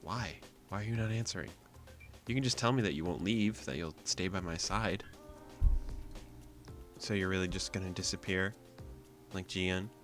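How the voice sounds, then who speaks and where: A man's voice: moderate pace, pauses often, fairly bright tone, soft background music. A man voicing a lonely young protagonist who is crying and pleading, afraid of being left alone. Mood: hurt, demanding. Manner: tearful, pleading, voice breaking high.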